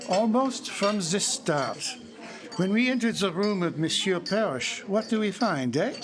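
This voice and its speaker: A man's voice: sits high, lively intonation, a little slow. A man playing a courteous, shrewd detective with a French accent, formal and precise in a live radio-style drama. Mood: genial, explanatory. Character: courteous, shrewd, self-assured foreign male detective. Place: library performance before live audience. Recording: live stage recording with loud background noise.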